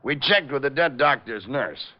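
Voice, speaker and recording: An actor playing a plainspoken, businesslike police lieutenant in a 1940s radio detective drama, terse and matter-of-fact. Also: male voice, medium pitch, animated, normal speed, close to an everyday voice. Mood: brisk, commanding. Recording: dull vintage radio recording.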